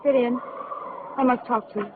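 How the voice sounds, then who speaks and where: A woman playing a troubled, wistful married woman in distress, speaking softly and mournfully in 1940s radio acting. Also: fairly high pitch, fairly even intonation, moderate pace, natural voice. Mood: hushed, wistful. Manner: soft, hushed, mournful. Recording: tinny old radio broadcast, faint hiss.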